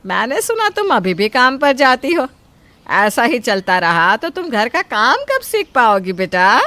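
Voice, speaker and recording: A woman acts out a greedy middle-aged mother-in-law in a dramatized story. Her voice is high and bright, swinging widely as she wheedles with false politeness and pushes her demands. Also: big pitch swings, unhurried, dry recording, heavily acted. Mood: pushy, demanding. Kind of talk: acted Hindi audio story.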